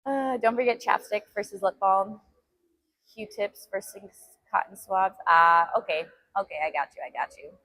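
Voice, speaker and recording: A woman's voice: medium pitch, normal speed, pushed and bright, low-quality recording. A woman whose first language is Albanian, chatting casually on a fitness live stream from a gym and laughing often as she reads comments. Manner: laughs often, reads chat aloud between sets. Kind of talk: casual live-stream chat while working out.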